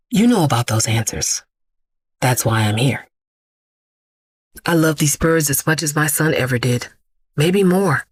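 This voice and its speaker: Woman acting a solemn, low-voiced immortal vigilante. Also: no room sound, clean studio sound. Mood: grieving, tender. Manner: deliberate, solemn, incantatory storytelling with repeated phrases. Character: immortal vigilante bag lady, grieving mother who commands pigeons. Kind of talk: dramatic monologue in an audio drama.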